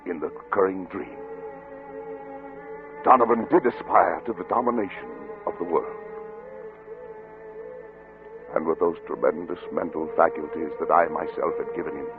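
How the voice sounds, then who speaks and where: Deep, anguished doomed scientist. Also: male voice. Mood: anguished revelation. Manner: grandiose, theatrical, tormented. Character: guilt-ridden scientist father writing his last confession, doomed, anguished. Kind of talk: 1940s radio drama monologue. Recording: muffled old radio recording, loud music.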